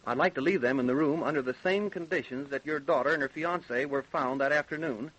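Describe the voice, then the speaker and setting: An American actor, a man, playing an even-tempered, probing coroner in an old-time radio drama, speaking in a deliberate, official way. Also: a little high, rough recording. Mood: methodical. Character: probing, even-tempered male small-town coroner investigating deaths.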